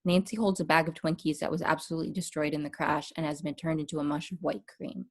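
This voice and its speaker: Narrator: woman, low-pitched, reading scripted stage directions. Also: level tone, fast.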